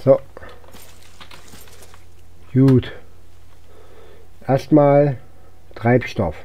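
Guy doing a casual lets play, kinda sick, mumbling short bits to himself, average pitch, bit muffled mic. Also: fairly even intonation, extremely slow. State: unwell, recovering from illness. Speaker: gaming YouTuber. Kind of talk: casual let's play commentary.

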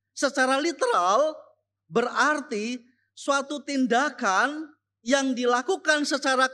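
A young man giving a chapel sermon to students, slow and emphatic, repeating key phrases. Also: very high-pitched, pushed and bright. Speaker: chapel speaker and teacher. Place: school chapel.

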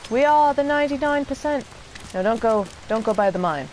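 A woman's voice: on the high side, expressive, fairly quick, a little mellow. A young woman doing casual live game commentary, profane, playful and sarcastic, talking as a streamer. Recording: noisy, game sound behind voice. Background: video game ambience.

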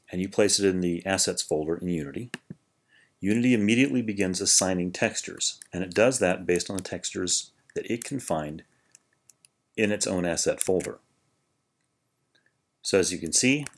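A man with a fairly low voice narrates a screen-recorded 3D tutorial. He explains each step calmly and informally, often restarting his sentences as he thinks. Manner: relaxed, explanatory, with frequent restarts. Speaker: 3D tutorial creator.

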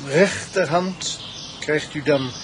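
An actor playing a nosy, terse, matter-of-fact village petrol attendant in a radio drama. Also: male voice, on the high side, slow. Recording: noisy outdoor vehicle ambience.

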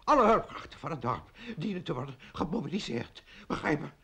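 Radio drama: blustering, self-pitying mayor, husky, creaky delivery. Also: male voice, on the high side, very animated, fairly quick, a bit bright, exaggerated voice. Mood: bossy, blustering. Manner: lamenting, stammering, pompous. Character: flustered, blustering male village mayor, self-pitying then bossy. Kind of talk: Dutch radio play acting.

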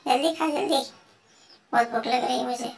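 A woman's voice: sits high, moderate pace, creaky, recorded from a distance. A woman voicing a scheming hungry house cat. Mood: grumbling greedy. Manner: muttering, plotting to herself with many hums. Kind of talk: comic pet voice-over.

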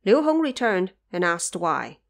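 A woman narrating an audio drama in a measured, even storytelling voice. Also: fairly low pitch, gravelly.

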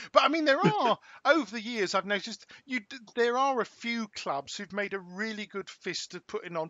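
An older man hosts a casual podcast chat, his voice pitched high and very bright. He talks with eager enthusiasm and frequent restarts. The sound is dry and a little dull, like a home podcast setup. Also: no echo. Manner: expansive, enthusiastic, many restarts. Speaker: podcast host.

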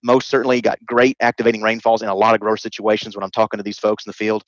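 A man's voice: fairly low pitch, little pitch movement, racing, no room sound. A man in his thirties answering interview questions over a headset video call, hesitant with many restarts. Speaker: guest expert. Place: home room, indoors. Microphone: headset mic, video call. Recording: dry, slightly dull video-call audio.

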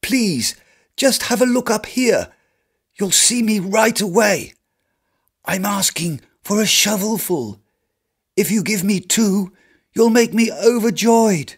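A man's voice: sits high, expressive, slow, slightly rough, voice pushed up, heavily acted. A man voicing a freezing poor man who humbly pleads for coal, in a storybook reading. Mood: pleading.

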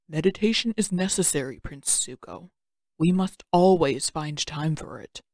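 A woman voicing a calm, formal, patient old uncle with measured delivery in an audiobook. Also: sits low, no room sound. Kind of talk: audiobook character voice.

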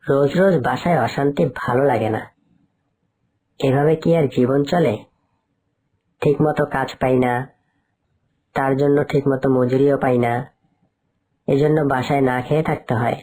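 A woman's voice: very deep voice, fairly even intonation, moderate pace, long pauses, warm tone. A woman voicing a poor, worried male fox labourer in a cartoon, speaking plaintively. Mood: weary, complaining. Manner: plaintive, pleading. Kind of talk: cartoon dialogue.

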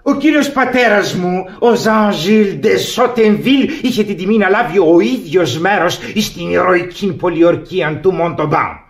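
A man's voice: high-pitched, few pauses. An actor playing a proud, pompous old baron and father-in-law, speaking formally and declaiming in classic radio theatre style.

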